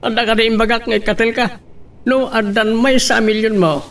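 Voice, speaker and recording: Scheming rich boss played by a man, radio drama: pushy, gravelly, high-pitched, slightly distant recording. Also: fairly even intonation, fairly quick, a bit bright. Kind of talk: Ilocano radio love drama acting.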